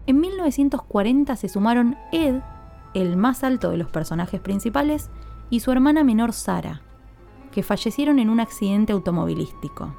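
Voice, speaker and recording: Higher-voiced woman narrating, mellow tone. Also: vocal fry. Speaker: podcast host. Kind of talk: scripted storytelling narration.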